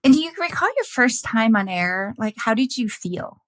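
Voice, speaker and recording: A woman's voice: on the high side, very animated, moderate pace. A woman hosting a video-call interview, asking prepared questions and responding with affirming remarks through a headset. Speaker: podcast host and interviewer. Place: indoors, home room.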